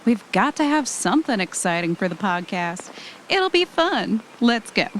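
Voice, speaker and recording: A woman's voice: mid-range voice, very animated, moderate pace, a little hiss or hum, close to an everyday voice, poor audio. A woman playing an anxious but adventurous podcaster on vacation in an audio drama. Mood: upbeat, coaxing.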